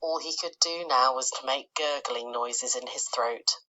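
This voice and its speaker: A woman from England with an English accent, reading a children's story as an expressive narrator. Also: a bass voice, measured pace. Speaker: storybook reader.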